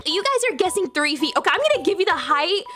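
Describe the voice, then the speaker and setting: Woman, twenties, high-pitched, bright, playful, attention-seeking, faint music. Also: big pitch swings, fast talker. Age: 20s. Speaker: streamer. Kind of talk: casual debut stream self-introduction.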